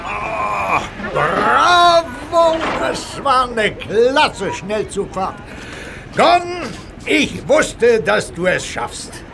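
A man's voice: extremely high pitch, sing-song, very slow, vocal fry, voice pushed up, heavily acted. A man voicing a jovial, fussy older male railway boss who blusters and laughs between his words. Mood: delighted. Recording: hissy audio play recording.

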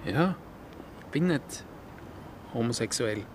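An actor playing a guarded, hesitant closeted man in a naturalistic radio drama, speaking in a hushed way. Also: medium pitch, expressive, slow pace, a little hiss or hum. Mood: nervous, guarded.

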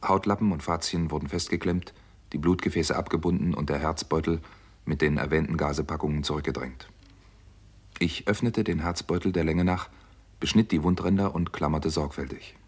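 A driven, earnest doctor in a 1960s radio thriller. His voice is very low and husky, and he tells his story with grave seriousness. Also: a little mellow, heavily acted. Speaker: actor narrating and playing a doctor. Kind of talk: 1960s German radio crime drama acting.

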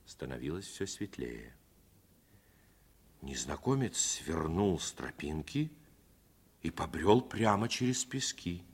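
A middle-aged man narrating a 1950s radio play in a reflective, measured manner. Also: extremely low pitch, animated, slightly rough.

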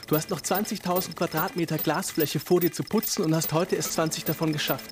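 A man telling a radio play story in the second person, describing each action in the present tense. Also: on the high side. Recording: clear background ambience noise. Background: ambient noise.

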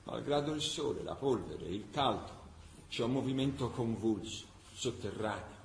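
Fervent, swooping wartime chronicler. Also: male voice, mid-range voice, expressive, a little hiss or hum. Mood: intense. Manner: emphatic, rhetorical, with weighted pauses. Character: fervent male chronicler of a city preparing to rise. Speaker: narrator in a radio drama. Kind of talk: dramatic scripted narration. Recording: roomy echo with clear background ambience.

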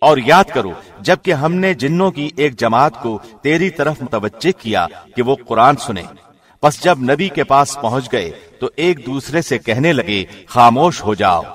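A man reading a scripture translation in a scripted, measured radio-presenter style. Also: mid-range voice, animated, fairly bright tone. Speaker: translation reader, radio host.

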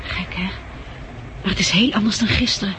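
Actress as young female survivor in a vintage radio play: eager, insistent, fast-talking. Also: mid-range voice, lightly acted. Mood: brisk, practical. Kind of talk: 1960s radio drama acting. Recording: 1960s radio play with background noise.

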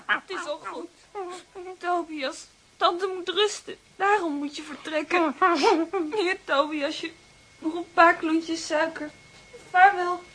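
A woman's voice: very high-pitched, fairly even intonation, takes it slowly, pauses often, pushed and bright, heavily acted. A woman playing a caring, spirited young girl in a radio play. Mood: gentle.

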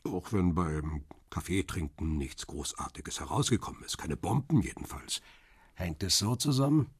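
An actor playing a wry, stubborn photographer who narrates and speaks in a crime radio drama, with a dry, confrontational manner and a husky, rough voice. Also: male voice, sits low, lively intonation, moderate pace, no echo, full character voice. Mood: sardonic, pressing.

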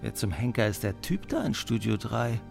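Male role: blunt studio type, creaky mid voice, music behind. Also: lowish voice, animated, normal speed. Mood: irritated. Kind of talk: German radio play acting.

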